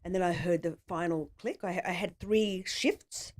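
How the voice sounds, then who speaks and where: A woman's voice: lowish voice, steady tone, moderate pace, darkish tone. A middle-aged woman with an Australian accent, telling her story casually and in a rambling way as a podcast guest.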